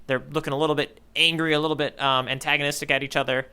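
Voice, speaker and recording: A man's voice: a little high, level tone, rapid-fire, sharp tone, smooth. A man co-hosting a podcast in casual discussion, talking in a playfully grandiose, rambling way. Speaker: podcast co-host. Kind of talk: casual manga discussion banter.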